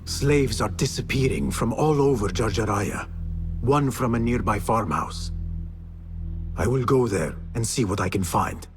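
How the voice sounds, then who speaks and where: An actor voicing a stealthy assassin who speaks in a measured, quiet, resolute way. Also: male voice, mid-range voice, music in the background, lightly acted. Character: stealthy hooded assassin, calm and determined.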